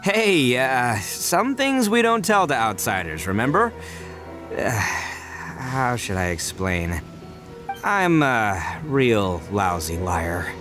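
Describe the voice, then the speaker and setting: Young male gang boss, brash, big-hearted, colloquial, lively pitch, video game, faint music. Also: slow pace. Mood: sheepish, sincere. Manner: loud, boyish bravado, colloquial.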